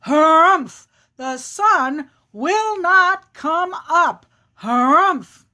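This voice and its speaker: A woman's voice: extremely high pitch, lively intonation, very slow, sharp tone, pitched above the performer's own voice, exaggerated voice. A woman voicing a stubborn, proud, grumpy big male bear in an animal folktale, with emphatic, blustering harrumphs. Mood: stubborn, huffy.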